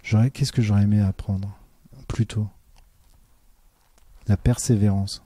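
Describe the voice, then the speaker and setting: A man's voice: on the low side, fairly even intonation, measured pace, mellow, dry recording. A man, a teacher, talking earnestly in a casual interview, with many fillers and restarts. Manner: earnest and reflective.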